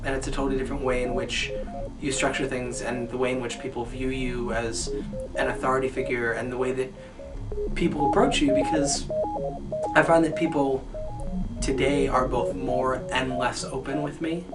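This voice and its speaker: A man's voice: mid-range voice, flat delivery, moderate pace. A man in his twenties from New York, earnestly answering interview questions and repeating phrases as he thinks. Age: 20s. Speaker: resident assistant. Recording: distant mic, loud music underneath.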